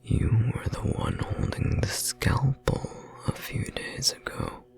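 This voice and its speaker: A man playing an ancient imprisoned godling, resigned and quietly menacing, in a breathy, creaky whisper for a horror audio roleplay. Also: close to an everyday voice. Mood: eerie accusation. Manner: slow, measured, quietly menacing. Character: ancient imprisoned male godling, resigned, eerily calm, wounded.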